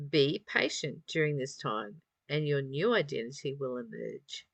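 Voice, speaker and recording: Female spiritual reader and teacher, unhurried and reflective, mid pitch, close dry sound. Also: animated, vocal fry, dry recording. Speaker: spiritual study teacher reading aloud and commenting. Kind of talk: reflective spiritual reading with informal commentary.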